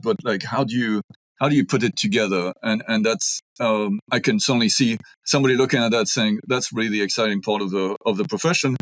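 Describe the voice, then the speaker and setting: Belgian-accented man, thoughtful and halting. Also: mid-range voice, no echo, low-quality recording. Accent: Belgian French. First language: French. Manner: thoughtful, many ums and restarts. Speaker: architect guest. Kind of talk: conversational interview.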